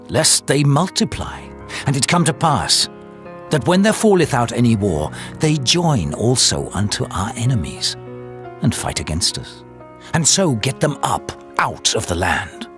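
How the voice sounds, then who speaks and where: Stern Egyptian king, animated delivery. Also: mid-range voice, measured pace, soft background music. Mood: scheming, urgent. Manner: commanding, formal, declamatory. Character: stern, ruthless adult male king of Egypt. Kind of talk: dramatized scripture acting.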